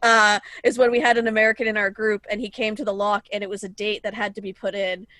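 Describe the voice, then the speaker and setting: A woman's voice: mid-range voice, level tone, fast talker, bright, forceful tone, no echo. A woman co-hosting a podcast, telling anecdotes in a chatty way and laughing easily. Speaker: podcast co-host. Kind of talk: casual podcast chat.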